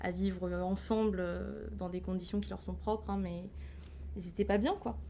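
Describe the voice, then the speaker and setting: A woman's voice: medium pitch, fairly even intonation, moderate pace, gravelly, mellow, slight background noise. A woman in her late twenties, a guest on a live stream, telling her life story casually with fillers and frequent laughs. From France. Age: 20s. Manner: chatty, laughs often, hesitant fillers.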